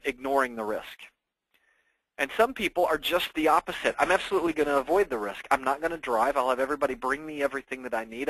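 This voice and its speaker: A man's voice: fairly high pitch, fairly bright tone, dry recording, low-quality recording. A man presenting to investors as a financial planner, explaining things informally and conversationally with everyday examples.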